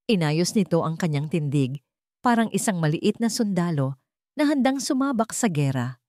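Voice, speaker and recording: A woman's voice: lowish voice, very animated, moderate pace, dark tone, no echo, clean studio sound. A woman narrating a scripted romance drama in a theatrical, suspenseful way. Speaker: story narrator.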